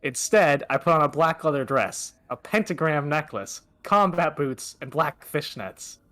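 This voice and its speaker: Animated, bright-voiced man reading aloud. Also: on the high side, a little slow. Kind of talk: casual read-aloud with joking asides.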